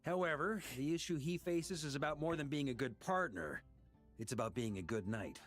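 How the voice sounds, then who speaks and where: A man playing a composed, principled leader of knights in a video game, speaking in hushed, formal, measured phrases. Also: fairly high pitch, soft background music, natural voice. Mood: serious. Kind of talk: animated video game acting.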